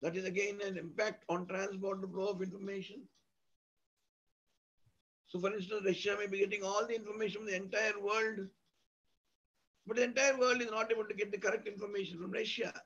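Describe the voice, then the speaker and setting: A male university lecturer with an Indian accent speaks in a very high, husky voice. He explains in a didactic, emphatic manner, restating points in strings of synonyms. The audio is dull and lo-fi. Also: level tone, brisk, pauses often, fairly bright tone. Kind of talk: academic lecture, explanatory with examples.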